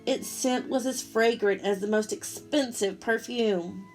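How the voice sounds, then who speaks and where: A woman storyteller reading a picture book aloud to children in an expressive way, talking directly to her listeners. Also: on the high side, measured pace, soft background music, poor audio. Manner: expressive, asks the listeners questions.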